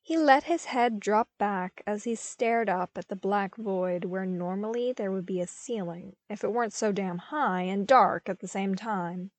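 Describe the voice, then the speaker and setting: A woman reading scripted fiction as an audiobook narrator, with expressive storytelling delivery. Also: mid-range voice, measured pace, hardly any pauses, dry recording.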